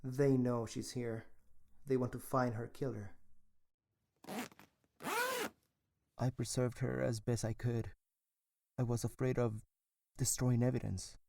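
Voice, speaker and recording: A man's voice: medium pitch, level tone, unhurried, gravelly, warm tone. A man playing a guarded, matter-of-fact morgue technician who is grieving a friend, in an audio drama.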